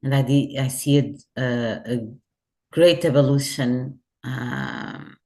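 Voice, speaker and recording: Middle-aged woman, Portuguese accent, low pitch; measured guest answering questions, searching for words. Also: warm tone. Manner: thoughtful, restarts phrases, searches for words. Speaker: guest, head of customer services. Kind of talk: remote interview.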